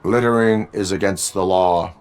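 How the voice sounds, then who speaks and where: An actor playing a stoic cyborg police officer in a video game, formal and deadpan, speaking in stiff moral maxims. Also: male voice, sits low, normal speed, very noisy, natural voice. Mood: stern, even. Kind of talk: video game cutscene acting.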